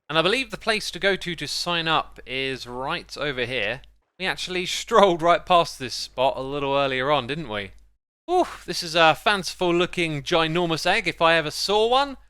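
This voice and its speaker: A man's voice: on the high side, bright, forceful tone, smooth, no echo. A man giving casual, chatty gameplay commentary as a video host, with playful exclamations, close on the mic.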